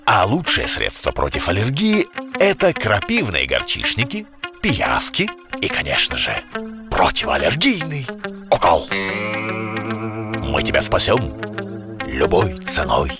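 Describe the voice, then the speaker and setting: A man's voice: sits low, wildly swooping pitch, unhurried, long pauses, exaggerated voice. A man voicing a sensible, wryly stern brown bear in a cartoon, speaking in an admonishing way. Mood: mock-solemn. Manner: wry, admonishing. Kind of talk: cartoon voice acting. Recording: music under the voice.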